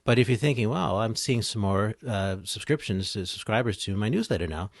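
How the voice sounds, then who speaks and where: A man's voice: on the low side, expressive, fairly quick, vocal fry, no echo, studio quality. A man speaking as an expert guest on a podcast, in casual interview conversation.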